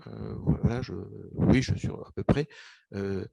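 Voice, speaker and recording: A man's voice: on the low side, steady tone, unhurried, creaky, mellow, no room sound, lo-fi sound. An older man from Egypt telling his memories in an interview, hesitant, with many restarts and fillers. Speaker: author interviewed about his book. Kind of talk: casual interview, personal memories.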